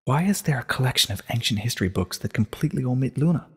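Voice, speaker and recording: A man's voice: mid-range voice, expressive, fast talker, fairly bright tone, slightly rough, voice pushed up, heavily acted. A man puts on an earnest, bookish young pony princess for a storybook reading. The voice is lifted well above his own, urgent and insistent, full of questions. It rings with a long reverb. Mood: agitated, insistent. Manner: urgent, questioning, stammering at times. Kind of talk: dramatic reading of a short story.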